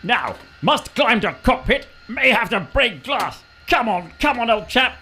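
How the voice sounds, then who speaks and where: A man's voice: very high voice, expressive, a little slow, pushed and bright, a little hiss or hum. A man in an amateur comic radio play voicing a plummy, stiff-upper-lip British flying ace with clipped upper-class bluster. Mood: determined.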